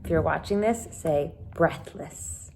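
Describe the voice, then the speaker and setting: A woman's voice: low-pitched, slow, creaky, darkish tone, a little hiss or hum. A woman teacher reading a children's book aloud and explaining it simply, with dramatic emphasis. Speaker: elementary teacher.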